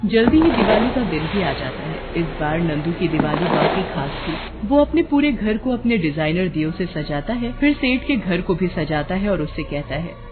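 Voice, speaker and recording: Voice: mid-range voice, animated, normal speed, phone-quality sound, over music. A narrator telling a scripted moral story for a cartoon.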